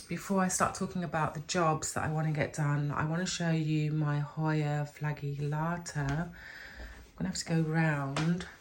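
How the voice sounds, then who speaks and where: Chatty woman, low voice, stuffy from a cold, rambling and self-correcting while showing her plants. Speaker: plant vlogger. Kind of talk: chatty plant care vlog. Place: home room with houseplants.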